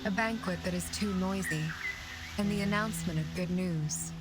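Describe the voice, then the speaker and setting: An actress playing a serene, aloof arcanist of the woods in a video game, speaking in a measured, formal and courteous way. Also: female voice, on the low side, very noisy, lightly acted. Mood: calm, detached. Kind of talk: scripted game voice acting. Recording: loud music behind the voice. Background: loud background music.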